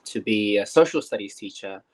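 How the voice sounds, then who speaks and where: A man's voice: lowish voice, lively intonation, moderate pace. Young man, from New Zealand, first language Māori, casual rambling chat, filler-heavy. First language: Te Reo Māori. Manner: rambling, many fillers and restarts. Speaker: guest teacher.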